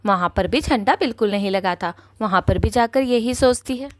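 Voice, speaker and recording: A woman's voice: fairly high pitch, normal speed, dry recording, clean studio sound. A woman narrating a scripted cartoon story as its storyteller.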